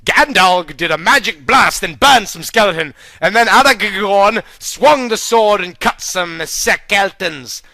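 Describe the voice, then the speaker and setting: Guy reading a goofy kid story totally straight, high bright voice, dry mic. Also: measured pace, few pauses, dry recording. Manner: deadpan earnest storytelling, mock-dramatic. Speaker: narrator. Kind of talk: comic reading of a childish story.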